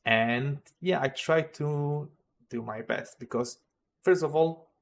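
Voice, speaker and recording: A man's voice: fairly high pitch, slow, creaky. A man with a Polish accent, a native Polish speaker, hosting a casual livestream and rambling hesitantly with many fillers and restarts. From Poland. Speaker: modding livestream host.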